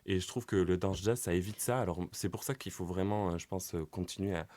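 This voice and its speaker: A man's voice: deep voice, fairly quick, mellow. A man co-hosting a talk show, earnest and searching for his words. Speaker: co-host. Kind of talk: casual chat.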